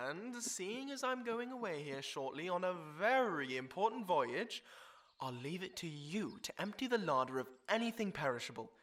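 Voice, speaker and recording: A man playing a gentle, fussy, kindly gentleman animal in a children's audio drama, flustered and old-fashioned in his politeness. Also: high voice, big pitch swings, normal speed. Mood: generous.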